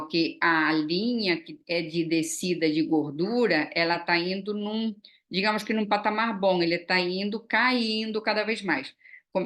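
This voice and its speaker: A woman in her early sixties talks in a relaxed, chatty way, walking through results while dotting her speech with little tag questions and fillers. Her voice sits a little low for a woman. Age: 60s. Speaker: vlogger. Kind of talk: casual chat.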